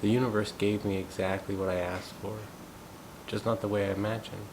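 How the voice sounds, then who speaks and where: Low, flat-voiced man musing aloud. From United States. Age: 30s. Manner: thoughtful, unhurried, musing aloud. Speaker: gong practitioner sharing thoughts. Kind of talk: reflective personal monologue. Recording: steady low rumbling background noise. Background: rumbling noise.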